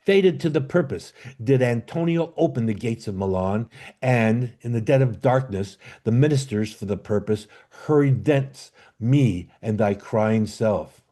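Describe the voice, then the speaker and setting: A man playing a bitter, commanding exiled duke and father in an amateur Shakespeare reading, with a declamatory, halting delivery. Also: mid-range voice, warm tone, close to an everyday voice. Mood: bitter, aggrieved. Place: online video call.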